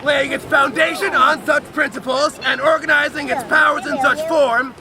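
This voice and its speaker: A man giving a loud, dramatic, emphatic reading of a historical document outdoors, with deliberate phrasing. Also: extremely high pitch, fairly even intonation. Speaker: reader at an outdoor public reading. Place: outdoors on a lakeside beach. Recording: outdoor crowd and motorboat noise.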